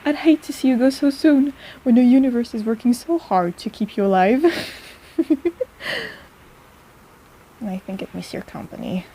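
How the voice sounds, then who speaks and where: A woman's voice: on the high side, slight background noise, lightly acted, rough recording. A woman playing a caring, teasing, easily flustered apothecary shopkeeper who rambles in an intimate audio roleplay addressed to the listener. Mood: fond, teasing. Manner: chatty and rambling, halting, gently teasing.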